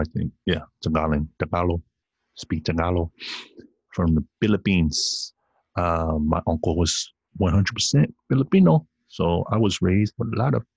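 A man's voice: deep voice, darkish tone, no echo, lo-fi sound. A man of Samoan heritage hosting his own podcast, rambling playfully and talking straight to his listeners in a casual solo rant. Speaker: podcast host. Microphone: computer recording via video call app.

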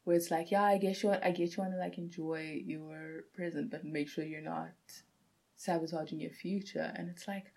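A young woman, chatting casually and telling stories in an animated way, acting out what others say. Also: low-pitched, moderate pace, vocal fry. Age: young adult. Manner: animated storytelling, quotes others. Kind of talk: casual chat.

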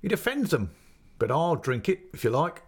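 A man's voice: fairly high pitch, big pitch swings, normal speed, breathy edge, voice pushed up, exaggerated voice. A man voicing a chatty, greedy inn waiter with a wheedling, garrulous manner. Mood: confiding. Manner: garrulous, wheedling, mock-dismayed.

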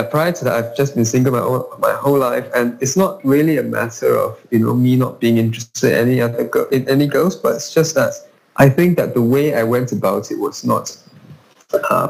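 A young man with a gravelly edge to his voice. He speaks as a podcast guest in a measured, earnest way, often restarting his sentences, over a remote call. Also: medium pitch, rough recording. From Malaysia. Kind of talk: casual conversation.

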